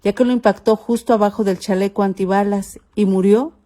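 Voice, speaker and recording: Reportera mexicana de voz oscura y suave. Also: female voice, mid-range voice, fairly even intonation, fairly quick, warm tone. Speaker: news reporter. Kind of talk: scripted news report narration.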